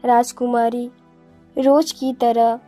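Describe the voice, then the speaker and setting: A woman's voice: on the high side, flat delivery, slow pace, dark tone, faint music behind. A woman reading scripted narration for a children's story.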